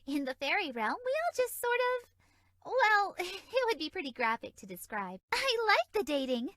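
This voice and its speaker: Flirty innocent fairy, very high voice, lively swooping intonation, bubbly, dry close game recording. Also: female voice, moderate pace, no room sound, heavily acted. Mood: shy, flirty. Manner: bubbly, innocently suggestive, airy. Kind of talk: video game character dialogue.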